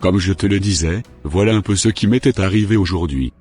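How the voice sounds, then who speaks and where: A man's voice: deep voice, fairly quick, darkish tone, breathy edge, soft background music. A man voicing a sick, repentant preacher. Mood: grateful. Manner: troubled, pleading, prays humbly.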